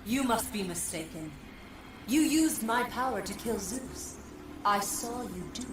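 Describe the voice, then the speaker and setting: An actress playing a commanding, manipulative ghostly Greek goddess in a video game, speaking in a solemn, imperious manner. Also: female voice, fairly high pitch, animated, noisy background. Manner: stately, measured, imperious. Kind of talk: dramatic video game cutscene acting. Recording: echoey, distant, under very loud music.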